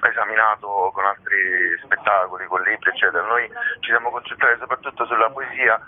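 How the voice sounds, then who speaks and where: A man giving explanatory interview answers, hesitant, with frequent drawn-out hums as he thinks. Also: lowish voice, steady tone. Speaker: theatre company director interviewed about a show. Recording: tinny, narrow-band phone line.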